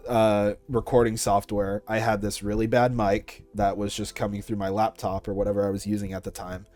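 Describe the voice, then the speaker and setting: A man's voice: lowish voice, darkish tone. A young man answering questions about his creative work in a casual interview, as a content creator. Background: music.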